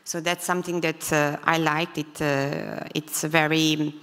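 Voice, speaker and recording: Low, even-toned Serbian-accented woman in hall. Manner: hesitant, frequent pauses and fillers. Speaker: conference speaker, entrepreneur. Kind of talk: spontaneous personal talk. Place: large indoor conference hall. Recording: reverberant conference hall.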